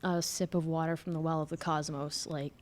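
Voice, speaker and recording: A young woman, a graduating student, talking earnestly and quickly in a casual interview. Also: low-pitched, little pitch movement.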